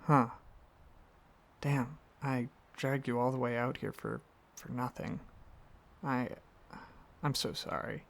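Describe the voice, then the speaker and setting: A man playing a gentle, affectionate dragon boyfriend in an intimate ASMR roleplay, speaking softly and earnestly with some hesitant rambling. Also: mid-range voice, steady tone, slow, pauses often. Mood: apologetic, nervous. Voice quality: soft, husky, slightly gravelly, breathy.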